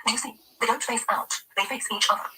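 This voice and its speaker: A woman voicing a fussy, imperious middle-aged woman who orders her housekeeper about in a shrill, haughty way. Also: big pitch swings, rapid-fire, sharp tone, distant mic, slight background noise, full character voice, low-quality recording. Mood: condescending. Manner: haughty, fretful, bossy.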